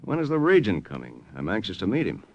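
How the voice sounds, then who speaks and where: An actor playing a wry, slangy American wartime flyer with an American accent in an old radio drama. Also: male voice, lowish voice, huge pitch swings, fast talker, lo-fi sound, exaggerated voice. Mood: easygoing, keen. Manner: slangy, wry, plain-spoken. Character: wisecracking American lieutenant, brash wartime flyer. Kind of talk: 1940s-50s radio drama acting, first-person narration. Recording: old radio recording, music behind.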